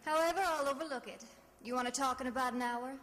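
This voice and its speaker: A woman's voice: high voice, quick pace, fairly bright tone, in a large hall. An actress playing a tough, wry, businesslike woman private detective in an old-time radio drama. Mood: brisk, wry. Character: brisk, tough, money-minded young female private detective.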